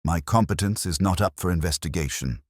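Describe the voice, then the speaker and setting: A man's voice: low voice, brisk, dry recording, clean studio sound. A man voicing an agitated village committee woman in a storybook mystery, prim, indignant and emphatic. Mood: indignant, flustered. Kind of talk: storybook character dialogue.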